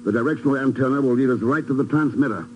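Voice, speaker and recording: An actor playing a cool, commanding secret agent in a 1950s radio drama, terse, dry and authoritative. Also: male voice, medium pitch, very fast, highs cut off, over music, lightly acted. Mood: focused, urgent. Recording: old 1950s radio recording.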